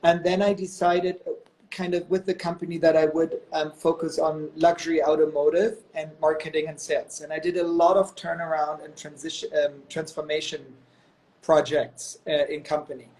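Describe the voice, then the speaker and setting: A man's voice: a little high, flat delivery, normal speed, a little mellow, slight background noise, low-quality recording. A man in his thirties from Germany, telling stories as a guest on a live video call, chatty and full of "you know" and "um". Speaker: guest, company executive.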